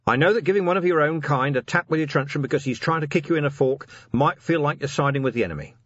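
A man voicing a weary, decent police commander in a storybook, gruff and dryly sardonic, talking plainly and firmly. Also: a little high, lively intonation, fast talker, a bit bright, no echo, highs cut off, pitched above the performer's own voice. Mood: rousing, firm. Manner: dry, sardonic, rousing plain talk.

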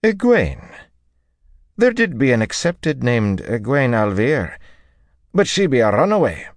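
A man voicing a stern female sorceress in an audiobook, sharp and demanding, with quaint archaic phrasing. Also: mid-range voice, very animated, a little slow, no echo, voice pushed up. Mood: imperious, demanding.

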